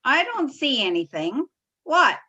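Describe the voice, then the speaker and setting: A woman's voice: high voice, very animated, measured pace, bright, forceful tone, muffled recording, heavily acted. An actress playing a folksy, scolding, impatient older mother-in-law in an old-time radio drama. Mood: impatient, puzzled.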